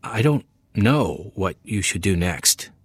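A man voicing a gentle missionary. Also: low-pitched, animated, slow, breathy edge, dry recording, studio quality, heavily acted. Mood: concerned. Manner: gentle, concerned. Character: kindly male missionary, caring and calm.

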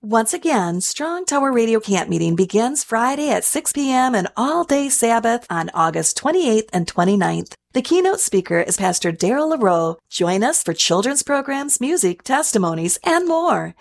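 A woman reads a scripted radio promo in a bright, upbeat announcer style. Her voice is fairly high and very lively, rising and falling in pitch. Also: normal speed, vocal fry, hardly any pauses.

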